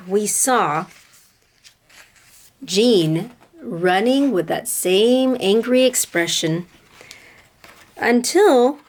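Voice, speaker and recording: A woman reads and explains a picture book for young listeners in the manner of a teacher. Her voice is on the high side and very lively in its rise and fall. She takes her time, phrasing deliberately and speaking directly to the children. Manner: deliberate, addressing children with questions. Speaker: teacher reading aloud to children. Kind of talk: expressive picture-book read-aloud and explanation.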